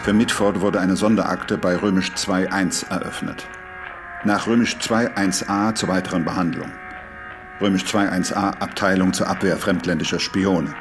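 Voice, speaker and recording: An actor playing a clipped, probing surveillance official in a radio play, reading out reports. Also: male voice, low voice, fairly even intonation, normal speed, over music, lightly acted. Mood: probing, officious.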